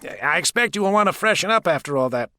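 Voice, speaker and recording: Man acting suave president, high pitch. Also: lively intonation, fast, pushed and bright, no room sound, clean studio sound. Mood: smoothly hospitable. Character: suave, courteous male American president. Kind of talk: audiobook character dialogue.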